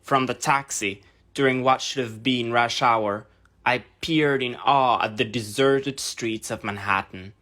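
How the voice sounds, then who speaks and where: Male reader for a literary memoir piece, mid-range pitch with a bright, tense edge. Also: measured pace. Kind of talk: scripted first-person narration, reading an essay aloud.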